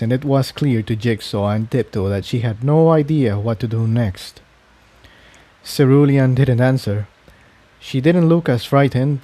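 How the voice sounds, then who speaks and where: A man's voice: mid-range voice, dark tone. A man narrating a scripted adventure story as an audiobook-style reading. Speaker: fan fiction narrator.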